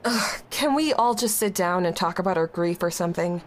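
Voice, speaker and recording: A woman's voice: fairly low pitch, lively intonation, normal speed, slight background noise, close to an everyday voice. An actress playing a young woman in a grief support group, evasive at first and then blunt and exasperated. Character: young woman, guarded and impatient. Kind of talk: audio drama acting.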